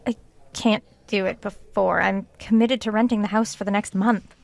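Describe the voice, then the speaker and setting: A woman's voice: mid-range voice, fairly even intonation, moderate pace, gravelly, no room sound, lightly acted. A woman acting in an audio drama as an earnest, stubborn author who hesitates and then insists. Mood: defensive, eager. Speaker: actor.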